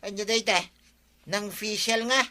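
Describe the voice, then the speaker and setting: An actor playing an excitable, insistent husband in a regional radio comedy drama, with music behind him. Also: male voice, sits high, measured pace, exaggerated voice. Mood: curious, coaxing. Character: excitable husband eager to buy a tricycle.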